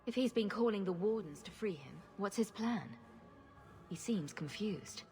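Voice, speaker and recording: A woman's voice: mid-range voice, animated, normal speed, dark tone, soft background music, close to an everyday voice. A woman plays a wry rogue heroine in a fantasy game. Her mid-range voice moves animatedly yet stays sarcastic, with a long reverb around it. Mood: wry, probing. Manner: dry, sarcastic. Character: sarcastic female rogue hero, wry, self-assured. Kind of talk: video game character acting.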